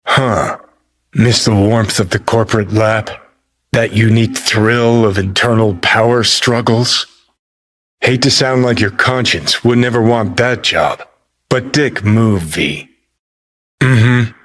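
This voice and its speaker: An actor playing a cynical, brash rebel rocker in a video game, with a sardonic, profane and taunting manner. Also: male voice, on the low side, takes it slowly, a bit bright. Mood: sardonic, dry.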